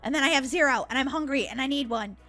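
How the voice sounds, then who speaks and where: A woman's voice: high-pitched, fast talker, pushed and bright. A woman hosting a gaming video, roleplaying in an exclamatory, dramatic style. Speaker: gaming channel host. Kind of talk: animated video game roleplay.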